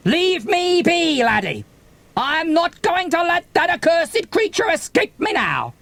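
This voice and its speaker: A man's voice: very high voice, huge pitch swings, measured pace, gravelly, fairly bright tone, dry recording, pitched above the performer's own voice, heavily acted. A man voicing a theatrical, blustering sea captain in a dramatised children's audiobook. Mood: blustering, dramatic. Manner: melodramatic, archaic seafaring talk.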